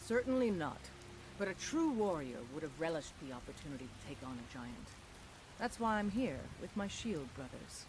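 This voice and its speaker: A woman's voice: sits low, sing-song, moderate pace, a little mellow, rough recording. A woman playing a proud, battle-hardened mercenary warrior in a fantasy video game, with a haughty, clipped manner. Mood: scornful pride. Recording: clear hiss and rain behind.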